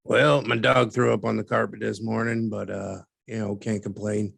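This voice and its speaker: A man playing a dry, skeptical newspaperman who explains things in a measured, wry interview style for an audio drama. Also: on the low side, flat delivery, creaky, fairly bright tone, breathy edge, no room sound, heavily acted. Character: dry, skeptical newspaperman recounting archived cases.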